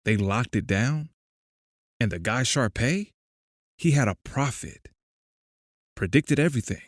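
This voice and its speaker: A man's voice: on the low side, dry recording, clean studio sound. A man playing a laconic, probing confidant in an audio drama, speaking in terse, clipped phrases. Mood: matter-of-fact, intrigued. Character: laconic, probing male confidant who follows conspiracies. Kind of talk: audio drama, conversational dialogue.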